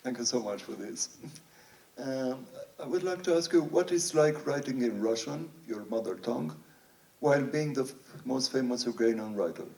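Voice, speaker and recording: A man's voice: mid-range voice, lively intonation, moderate pace, darkish tone. A man hosting a podcast, asking a guest polite questions. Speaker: podcast host. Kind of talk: interview questions. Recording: distant from the mic.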